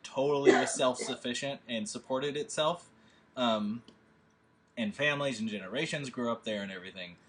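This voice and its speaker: A man's voice: mid-range voice, a bit bright, slight background noise. A man leading a table read, chatting casually from home over a video call, rambling with lots of fillers and restarts. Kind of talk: casual chat.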